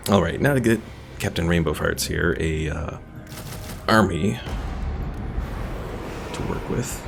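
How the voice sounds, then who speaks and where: A man's voice: low-pitched, takes it slowly, creaky. A man giving casual live gameplay commentary as a streamer, thinking aloud as he plays. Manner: thinks aloud while playing. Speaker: game streamer. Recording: game music behind voice. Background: video game music.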